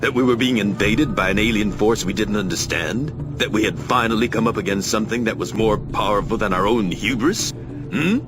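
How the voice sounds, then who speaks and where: An actor playing a grave, commanding leader of a secret order in a video game, speaking formally and solemnly. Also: male voice, fairly low pitch, normal speed, lightly acted. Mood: indignant, intense. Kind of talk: dramatic video game cutscene acting. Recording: loud background music.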